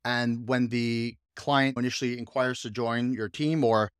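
A man hosting a relaxed podcast, speaking eagerly and circling back over his points. His voice sits in an ordinary middle register. The recording is dry and close. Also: mid-range voice, unhurried, clean tone, no room sound. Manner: enthusiastic, rambling with restarts. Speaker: podcast host, coach and business owner. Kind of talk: casual podcast chat.

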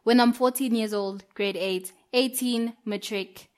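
A woman's voice: on the high side, steady tone, a little slow. Chatty young woman. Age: young adult. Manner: chatty, laughs often, many fillers. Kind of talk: casual chat.

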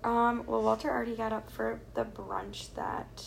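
A young woman co-hosting a podcast in casual chat, giggly with quick reactions. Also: a little high, very animated, gravelly, crowd noise, low-quality recording. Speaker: podcast co-host.

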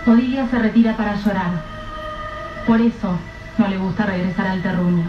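A woman narrating a radio drama in a measured, grave storytelling manner. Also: mid-range voice. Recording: roomy, dull, distant mic with soft music.

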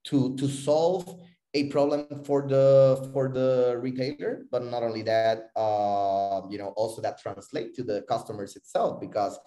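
A man with a Puerto Rican accent answering interview questions about his business, halting and repeating words as he searches for phrasing. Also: mid-range voice, steady tone, dark tone. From Puerto Rico. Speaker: interview guest, tech company CEO. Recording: roomy, echoing voice recording.

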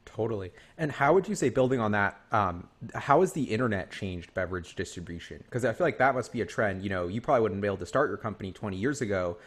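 Fast-talking low-voiced male interviewer. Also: expressive, breathy edge. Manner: rambling, thinks aloud while asking. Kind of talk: casual interview questions.

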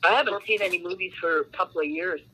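Bright-voiced woman, rambling. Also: fairly low pitch, fast talker, breathy edge, low-quality recording. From Canada. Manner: rambling, full of "um" and "you know" fillers. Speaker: author guest. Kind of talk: casual interview chat.

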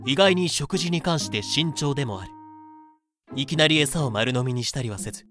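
A man playing a self-important, calmly confident young would-be god, explaining smugly in a fan-made comedic audio drama. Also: mid-range voice, faint music behind, natural voice. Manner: smug, measured explaining.

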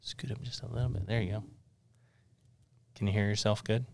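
A man's voice: on the low side, fairly even intonation, a little fast, gravelly. A middle-aged man hosting a casual interview podcast in an office studio, rambling a little with lots of fillers. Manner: rambling, friendly, many fillers. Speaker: podcast host.

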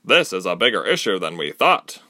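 A man voicing a worried male council member speaking gravely. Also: deep voice, sing-song, moderate pace, pushed and bright, no echo, clean studio sound, exaggerated voice.

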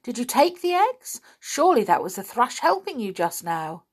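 A woman's voice: a little high, sing-song, moderate pace, slightly rough, pitched above the performer's own voice. A woman voicing a skeptical toy clown who questions and doubts.

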